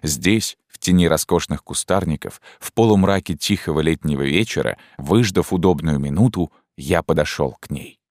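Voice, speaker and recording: A man reading a literary story aloud as an audiobook narrator, with expressive, measured delivery and drawn-out emphasis. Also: extremely low pitch, dry recording, clean studio sound.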